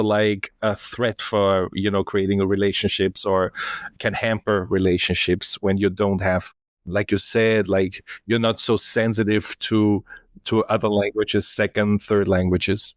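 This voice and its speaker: A man's voice: lowish voice, no room sound, studio quality. A man from Austria, a native German speaker with an Austrian accent, hosting a podcast and asking searching, hesitant questions. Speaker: podcast host. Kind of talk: interviewing.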